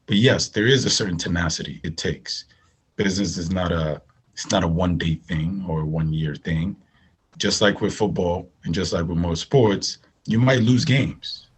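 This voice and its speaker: A Nigerian man speaks as an interview guest in a very low voice with a husky grain. He talks conversationally and drives points home by repeating himself and checking in with the listener. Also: normal speed. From northern Nigeria. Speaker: podcast guest, agribusiness managing director.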